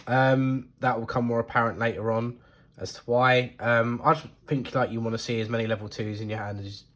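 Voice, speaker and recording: A man's voice: medium pitch, fairly even intonation, fairly quick, fairly bright tone. A man talking casually about his card deck, hesitant and full of ums, sounding stuffy from a cold. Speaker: card game deck reviewer. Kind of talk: casual talk to camera.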